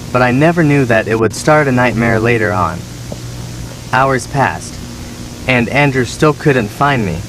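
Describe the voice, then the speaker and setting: A man playing a neglected, resentful younger son, telling his story as measured, plaintive scripted horror narration. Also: medium pitch, background noise, close to an everyday voice.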